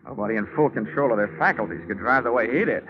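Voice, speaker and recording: An American man playing a wisecracking, hard-boiled insurance investigator in an old radio detective drama, with a wry, terse, deadpan delivery. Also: medium pitch, fast, close to an everyday voice. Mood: wry narration. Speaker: lead actor and narrator in a detective radio drama. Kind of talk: 1940s-50s radio acting, first-person scripted narration. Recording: muffled vintage radio broadcast with music.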